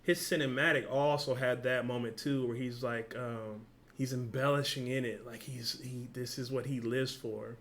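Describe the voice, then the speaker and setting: A man hosting a reaction video, talking casually and excitedly, rambling when worked up. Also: medium pitch, moderate pace, few pauses, slight background noise.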